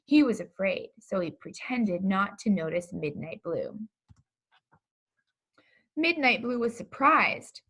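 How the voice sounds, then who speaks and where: Expressive woman reading a children's storybook. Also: medium pitch, breathy edge, poor audio. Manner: expressive, deliberate storytelling delivery. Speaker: pastor reading a children's book. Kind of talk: children's storybook read-aloud.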